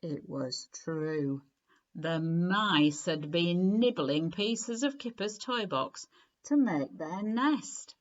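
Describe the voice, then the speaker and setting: A woman reading a children's storybook aloud in an expressive, deliberate way, with clear phrasing and dramatic emphasis. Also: on the low side, muffled recording. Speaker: story reader.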